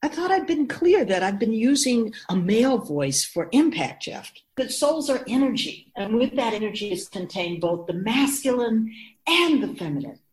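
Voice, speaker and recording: A woman's voice: fairly high pitch, sing-song, normal speed, darkish tone. A woman playing an assured immortal keeper of souls in a radio drama, explaining things in a composed, formal, didactic way. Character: feminine-voiced immortal spirit keeper of souls, assured and instructive.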